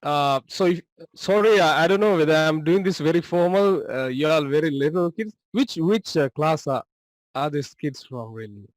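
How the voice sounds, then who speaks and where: A man with a Sri Lankan accent, Tamil by birth, shows slides to students over a video call. His voice sits somewhat high and sounds close and dry, and he talks in a friendly, loose way, full of small fillers. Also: expressive, normal speed, no room sound, rough recording. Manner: friendly, loose, with many fillers like "you know" and "uh". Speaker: guest presenter.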